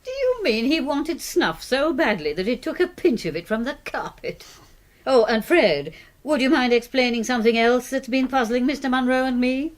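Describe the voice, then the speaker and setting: Dry, lively British lady doctor. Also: sits high, moderate pace. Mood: puzzled, probing. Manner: dry, articulate, ironic. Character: composed, dryly sardonic British woman doctor. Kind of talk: radio drama acting.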